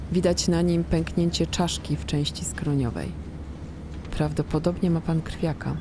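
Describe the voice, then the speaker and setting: An actress playing a brisk, matter-of-fact hospital medic in a naturalistic radio drama, with a clinical, direct manner. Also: female voice, low voice, noisy background. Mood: serious, frank.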